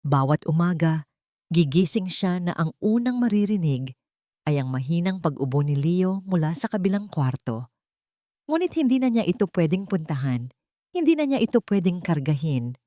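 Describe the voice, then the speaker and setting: A woman narrates a melodramatic story in a fairly low voice. Her intonation moves widely and builds suspense with emotion. The recording is dry. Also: expressive, normal speed, mellow, no room sound. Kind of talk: dramatic scripted narration.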